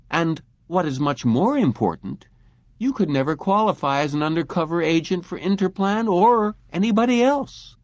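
A man voicing a smooth, persuasive middle-aged salesman who talks emphatically and genially. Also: fairly high pitch, darkish tone, dry recording, lo-fi sound, pitched above the performer's own voice. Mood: patient.

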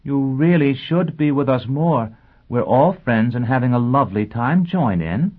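A man voicing a gentle, anxious, well-bred young woman who teaches, speaking in careful, polite diction. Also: medium pitch, brisk, voice pushed up, natural voice. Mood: brightly commanding. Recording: thin, phone-like band-limited sound.

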